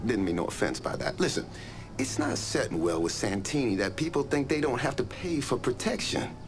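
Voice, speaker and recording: An actor playing a cheeky, chatty small-time crook in a video game cutscene, slangy and joking. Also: male voice, mid-range voice, animated, fairly quick, music in the background, close to an everyday voice. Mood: cocky, amused. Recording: roomy echo, faint background noise.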